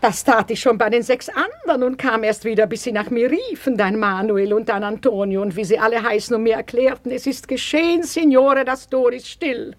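An actress plays a haughty lady at the end of her patience. Her voice is on the high side, and she delivers her complaints with theatrical, mocking emphasis. The radio drama recording is close and dry. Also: a little fast, few pauses, no room sound, close to an everyday voice. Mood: indignant, exasperated. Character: exasperated, haughty, mocking lady of the house Margarida. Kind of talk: German radio play acting.

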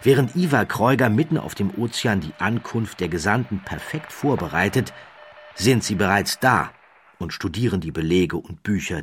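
A man's voice: sits low, husky, dry recording. A man narrating an audiobook, telling the story dramatically with rising and falling emphasis.

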